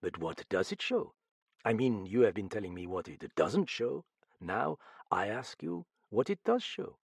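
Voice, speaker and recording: A man voicing a calm, commanding French detective in a mystery story, speaking in a grave, measured and pointed way. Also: lowish voice, vocal fry, husky, dry recording, clean studio sound, full character voice. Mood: musing, probing.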